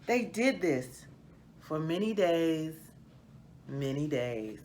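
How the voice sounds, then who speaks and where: A woman reading a children's picture book aloud as a storyteller, in a deliberate, expressive manner. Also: deep voice, a little hiss or hum. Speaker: read-aloud host, storyteller.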